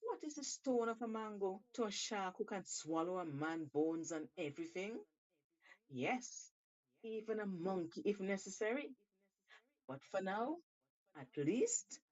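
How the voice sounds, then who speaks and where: A woman storyteller reading a tale aloud with deliberate, theatrical emphasis. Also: on the low side, animated, darkish tone, muffled recording. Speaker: storyteller, show host. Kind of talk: expressive scripted storytelling.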